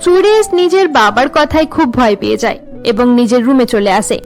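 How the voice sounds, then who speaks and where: A woman's voice: on the high side, moderate pace, faint music behind. A woman narrator reading a scripted story for a cartoon.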